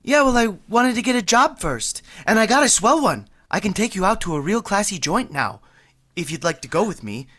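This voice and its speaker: A woman's voice: medium pitch, big pitch swings, a little fast, fairly bright tone. A woman playing a flustered lovestruck young man. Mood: bashful. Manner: earnest, flustered, rambling. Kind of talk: 1940s radio acting.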